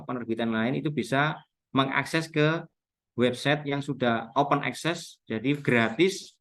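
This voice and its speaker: An Indonesian man with a Javanese accent speaks at a medium pitch. He gives an informal webinar talk on local history, walking listeners through things step by step and spelling out key terms.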